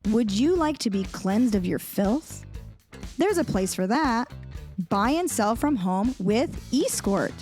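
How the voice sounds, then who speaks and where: A woman voicing a sinister otherworldly ad announcer with a smooth, salesy delivery. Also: a little high, animated, slow pace, a little mellow. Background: music.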